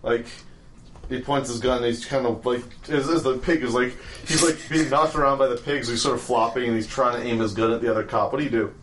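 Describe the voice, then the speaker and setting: A man's voice: medium pitch, fairly even intonation, fast, a bit bright, slight background noise. A man running a tabletop game as game master, narrating with lots of restarts and fillers and laughing at his own descriptions.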